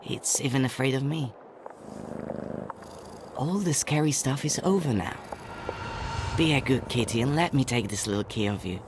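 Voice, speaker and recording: An actor playing a brave, earnest young boy in an adventure game, with expressive delivery. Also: medium pitch, moderate pace, pauses often, noisy background, close to an everyday voice. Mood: coaxing. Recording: music under the voice.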